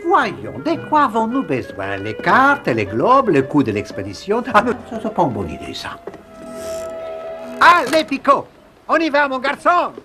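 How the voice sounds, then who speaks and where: An actor voicing an excitable, scatterbrained male explorer who thinks himself a genius, in a theatrical cartoon manner. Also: mid-range voice, measured pace, over music. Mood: excited, scheming. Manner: theatrical, swooping from muttering to exclaiming. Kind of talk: animated cartoon dub.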